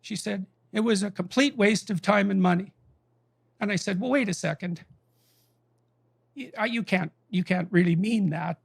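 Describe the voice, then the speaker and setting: A man with a high, gravelly voice recounts a conversation in emphatic, impassioned storytelling. His voice is dry and close, with no room sound around it. He presses his points hard and grows indignant. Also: moderate pace, pauses often, no echo.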